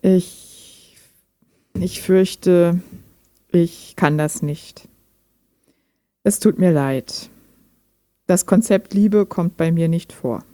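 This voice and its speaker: Low, close female chatbot, acted by a woman. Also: extremely slow, pauses often, warm tone, no echo. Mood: hesitant, apologetic. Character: gentle, guarded female chatbot talking like a human. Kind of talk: intimate German radio play dialogue.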